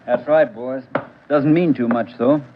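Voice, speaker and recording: A man playing a dry, wry police lab technician in a 1940s radio drama, brisk and matter-of-fact. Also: mid-range voice, fairly even intonation, close to an everyday voice. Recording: muffled, hissy 1940s radio recording.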